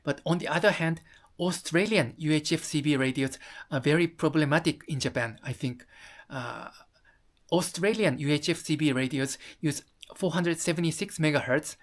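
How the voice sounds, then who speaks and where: Someone explaining stuff to camera, mid pitch, careful non-native english, kinda halting. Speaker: video presenter. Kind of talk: explanatory talk to viewers.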